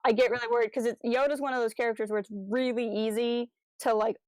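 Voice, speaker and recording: Female podcast host, slightly high-pitched, close dry sound. Chatty, jokey fan enthusiasm, happy to wander into asides. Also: steady tone, quick pace, a little mellow, no echo, low-quality recording. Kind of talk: casual fan podcast chat.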